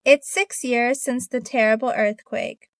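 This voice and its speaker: An eager, grateful teenage girl in a textbook dialogue, read by a woman. The voice sits fairly high and the delivery is clear and careful. The recording is dry and close. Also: steady tone, a little slow, dry recording, natural voice. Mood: earnest. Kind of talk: scripted listening-test dialogue.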